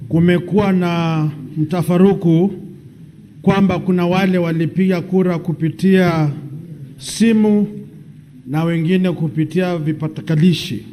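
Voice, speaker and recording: A man's voice: high-pitched, warm tone, slight background noise. A man with a Kenyan accent speaking formally as a senator questioning a witness in parliament. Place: parliamentary chamber.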